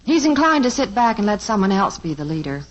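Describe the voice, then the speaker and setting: An actress playing a calm, composed middle-aged mother in a 1950s radio sitcom who gently mocks her husband. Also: medium pitch, quick pace. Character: calm, dryly teasing mother and wife. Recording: dull lo-fi old radio transcription.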